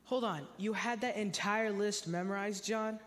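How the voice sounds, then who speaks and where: Young man as high-voiced chatty teen in echoey hall. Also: moderate pace, a bit bright. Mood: amused. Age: teenager or young adult. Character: chatty, pun-loving teenage boy, excitable and joking. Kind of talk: church reader's theater.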